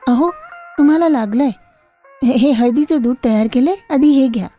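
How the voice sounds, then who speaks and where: A woman voicing a devoted, caring village wife in a storybook, gentle and respectful. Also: high voice, normal speed, soft background music, pitched above the performer's own voice. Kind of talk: storybook character voice.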